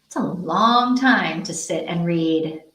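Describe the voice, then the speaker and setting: A woman's voice: lowish voice, animated, slow pace, fairly bright tone, slight background noise. Woman livestreamer chatting in an echoey room. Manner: rambling, thinking aloud. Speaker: host of a live reading sprint stream. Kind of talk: casual livestream chat. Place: indoor room with echo.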